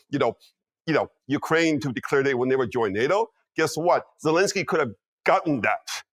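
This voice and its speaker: A man's voice: a little high, no echo. Emphatic man in his fifties, dry sound. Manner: emphatic, peppers talk with "okay?" and "you know what?". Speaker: guest strategist. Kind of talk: interview commentary.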